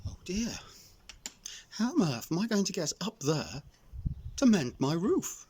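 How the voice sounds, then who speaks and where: A man's voice: high voice, sing-song, unhurried, dark tone, breathy edge, exaggerated voice. A man voicing a small, polite, anxious elf in a children's story, with a hesitant, genteel manner. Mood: worried, musing.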